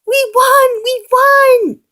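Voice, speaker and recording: A woman voicing an excitable male creature in a storybook reading, loud and eager. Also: very high-pitched, very slow, pitched above the performer's own voice, heavily acted. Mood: excited.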